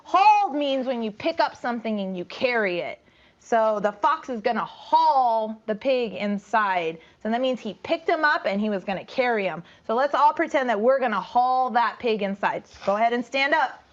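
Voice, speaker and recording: Very high, animated woman teacher reading aloud. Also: normal speed, highs cut off. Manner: animated, explanatory, prompting listeners to join in. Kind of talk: interactive storybook read-aloud.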